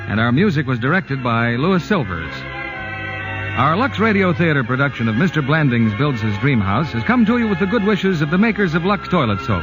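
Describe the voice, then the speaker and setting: A man reading credits and ad copy as a radio announcer, in a smooth, polished manner. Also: on the high side. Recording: muffled 1940s radio broadcast with music underneath.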